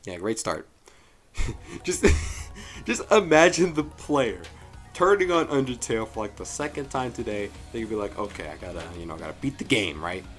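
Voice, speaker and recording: A man giving a casual, jokey review commentary as the host, with animated delivery and frequent asides. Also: medium pitch, moderate pace. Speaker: host of a fan story review show. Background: music.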